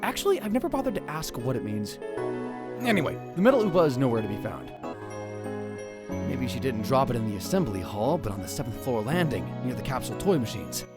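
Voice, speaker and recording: A man's voice: medium pitch, very animated, brisk. Man acting a theatrical young mad scientist, music behind. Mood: narrating. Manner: theatrical, brooding, self-important. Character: dramatic, paranoid young male self-styled mad scientist. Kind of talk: visual novel fan dub, first-person narration and dialogue.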